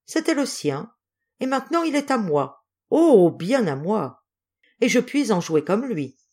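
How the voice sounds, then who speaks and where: A woman's voice: on the low side, big pitch swings, a little slow, darkish tone. A woman voicing a menacing, feverishly exultant husband possessed by evil in a dramatic story reading. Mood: feverish gloating. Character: possessed husband, feverish, menacing, gloating over cursed violin.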